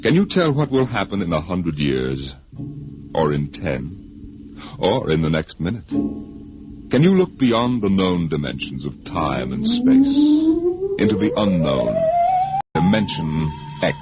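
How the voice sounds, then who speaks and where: A man narrating as host of a 1950s radio anthology, dramatic, ominous and teasing, with theatrical pauses. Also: low voice, wildly swooping pitch. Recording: dull vintage radio broadcast recording. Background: loud dramatic music.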